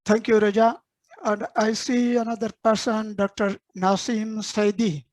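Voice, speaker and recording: A woman hosts an online meeting over a video call, calling on each attendee by name in a formal, measured way. Her voice sits at a middle pitch and sounds dry and close. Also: little pitch movement, a little mellow, no echo. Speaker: meeting host.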